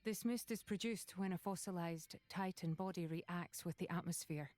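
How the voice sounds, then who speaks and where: A woman's voice: fairly low pitch, normal speed, darkish tone, no room sound, natural voice. A woman playing a serious, knowledgeable companion in a video game, composed and explanatory. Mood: explaining. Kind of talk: video game cutscene acting.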